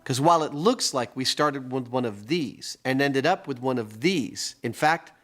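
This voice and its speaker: A man's voice: medium pitch, expressive, moderate pace, fairly bright tone, faint music behind. A man hosting a science show, explaining his experiments on camera in an enthusiastic, jokey way. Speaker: host and experimenter. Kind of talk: casual on-camera demonstration.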